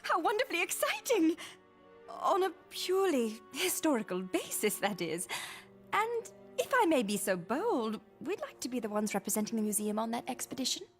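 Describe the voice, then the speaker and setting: A woman's voice: high-pitched, sing-song, normal speed, faint music behind. An actress playing an eager, refined adventurer mother. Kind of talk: animated series acting.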